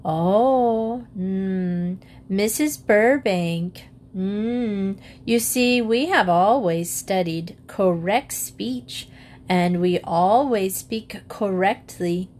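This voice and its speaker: A woman's voice: medium pitch, darkish tone. Prim storybook mother, condescending, each vowel polished, a woman puts her on. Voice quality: precise, over-enunciated. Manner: slow and condescending. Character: prim, self-satisfied mother who prizes correct speech.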